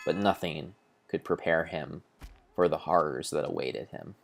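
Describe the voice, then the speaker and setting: A low-pitched man narrates gameplay as if it were a grand epic tale. His delivery is theatrical and solemnly overblown, made up as he goes, with deliberate pauses and the odd corrected word. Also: vocal fry, faint music behind. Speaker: narrator of a video game playthrough. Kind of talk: mock-epic dramatic storytelling, improvised.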